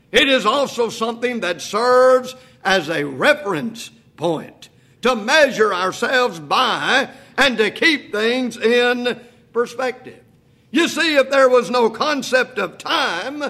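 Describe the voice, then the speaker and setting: A man in his sixties preaching a sermon, asking rhetorical questions and slowing to a quiet, deliberate pace for emphasis. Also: sits high. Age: 60s. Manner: repeats "if you please" and "think about this".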